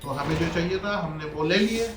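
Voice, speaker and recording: A man's voice: on the high side, rapid-fire, reverberant, noisy background. An Indian man giving casual gameplay commentary, mixing English words into his speech. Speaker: gaming YouTuber.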